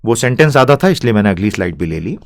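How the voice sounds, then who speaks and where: A man with an Indian accent teaching an English lesson, quick and chatty when he explains, slow and deliberate when he reads the English passage aloud. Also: lowish voice. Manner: fast animated Hindi explanation, slow careful English reading. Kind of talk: language lesson, reading aloud.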